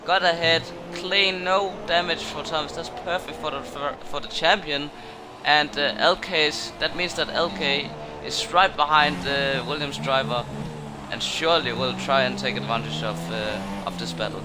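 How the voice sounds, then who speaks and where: Guy calling an online racing league, gets loud and high on crashes, engines roaring behind. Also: moderate pace. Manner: excitable, rises sharply on incidents. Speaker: race commentator. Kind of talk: sim racing commentary. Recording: noisy game audio behind. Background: racing game engine noise.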